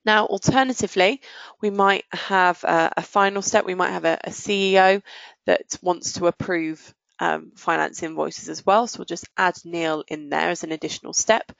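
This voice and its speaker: A woman presenting a software demo in a conversational, explanatory way, pausing and stretching words as she goes, on dull, muffled audio. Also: fairly low pitch, fairly even intonation, creaky, no echo.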